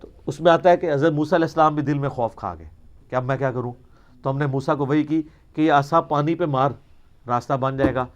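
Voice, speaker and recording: Man, high voice, Pakistani Punjabi accent, religious Q&A lecturer, emphatic, argumentative, rhetorical, informal. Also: brisk, dull audio. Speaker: religious scholar answering questions.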